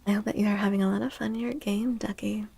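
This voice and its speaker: A woman livestream host speaking softly in an ASMR style, hushed and gentle, often slipping into a near-whisper close to the microphone. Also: mid-range voice, flat delivery, fast talker. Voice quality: soft, breathy. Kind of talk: soft-spoken ASMR livestream chat.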